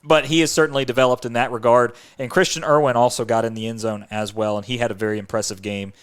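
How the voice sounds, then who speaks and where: A man's voice: mid-range voice, fairly even intonation, fast, clean tone. A man hosting a sports podcast in an upbeat, chatty presenting style.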